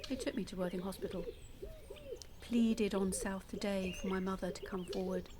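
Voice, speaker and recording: An actress playing a damaged, bitter woman in an audio play monologue, confiding and quietly menacing, often dropping close to a whisper. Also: mid-range voice, fairly even intonation, moderate pace, a crowd in the background, natural voice. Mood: wistful, wry.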